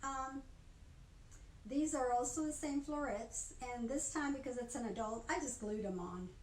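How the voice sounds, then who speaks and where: An older woman with a fairly high voice shows and explains craft work informally. She talks in a chatty, halting way and often rephrases as she goes. The room is clearly echoey, with a little background noise. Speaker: craft instructor. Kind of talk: informal product demonstration. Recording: roomy echo, faint background noise.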